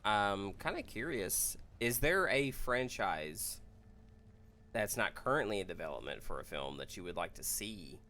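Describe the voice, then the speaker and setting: A man with a bright, forceful voice pitched slightly low. He hosts a casual podcast chat about games and films and steers the discussion. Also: sing-song, a little slow. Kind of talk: casual video game and movie chat.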